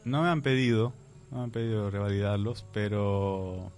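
Soft-spoken young man, low voice. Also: fairly even intonation, moderate pace. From Palestine. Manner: hesitant, laughs softly between answers. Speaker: guest. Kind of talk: radio interview answers.

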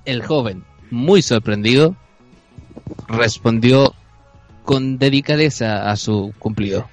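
A man's voice: medium pitch, takes it slowly, soft background music. Chilean man narrating a mock radio drama. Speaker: narrator on a comedy improv show.

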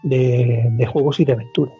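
Very husky Spanish man on a podcast. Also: medium pitch, steady tone, moderate pace, mellow. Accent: Spain. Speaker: podcast panelist. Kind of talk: casual chat about video games. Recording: dull lo-fi audio, music behind.

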